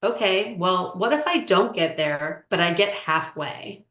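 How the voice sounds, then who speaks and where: A woman coach answering interview questions in a measured, explanatory way with frequent "um"s. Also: lowish voice, animated, fairly bright tone. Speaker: relationship coach. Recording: echoey room.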